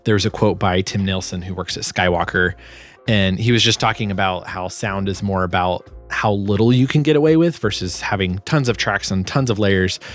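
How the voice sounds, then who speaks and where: A man with a fairly low voice hosts a sound design walkthrough. He talks informally and a little haltingly, as if thinking aloud. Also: faint music behind. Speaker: sound designer and video host. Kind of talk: casual tutorial walkthrough.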